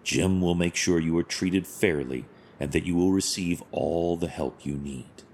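An actor playing a stern but compassionate masked vigilante hero in an audio drama, speaking in a measured, formal, solemn way. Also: male voice, deep voice, a little mellow, a little hiss or hum. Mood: solemn, earnest.

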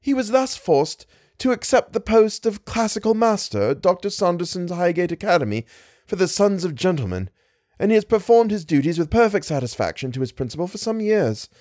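A distressed young lady of genteel manners, as a male reader puts her on, pleading in a low, murmuring voice raised well above his own. Her speech is formal and ornate, in a dry, close recording. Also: sing-song, brisk, a little mellow, no room sound. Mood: earnest. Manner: formal, ornate Victorian diction.

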